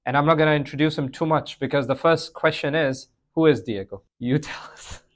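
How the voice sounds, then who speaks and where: Rambling young podcast host. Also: male voice, fairly high pitch, steady tone, fairly quick. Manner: rambling storyteller, lots of fillers and laughs. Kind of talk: casual chat.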